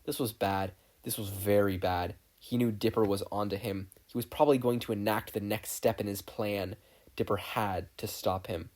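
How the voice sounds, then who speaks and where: A podfic narrator reading a scripted story in an expressive way. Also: low-pitched, normal speed.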